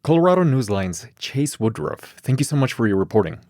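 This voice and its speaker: A man's voice: mid-range voice, sing-song, brisk, a little mellow, no room sound. An American man hosting and reporting in a scripted public radio news style, close on a studio microphone. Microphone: close studio microphone, dry.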